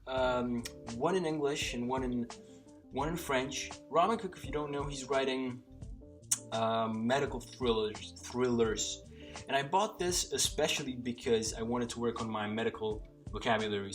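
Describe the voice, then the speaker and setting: A man whose first language is Slovak, talking casually to camera by his bookshelf, rambling with many fillers and restarts. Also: mid-range voice. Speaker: polyglot vlogger. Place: small room by a bookshelf lit by a lamp.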